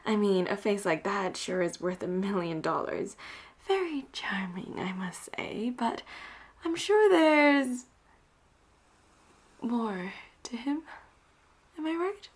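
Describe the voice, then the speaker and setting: A woman acting a flirty, dominant and cocky female pirate captain in a roleplay audio drama, teasing and breathy, often dropping toward a whisper. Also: medium pitch, very animated, takes it slowly, pauses often. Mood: teasing, curious. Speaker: voice actor.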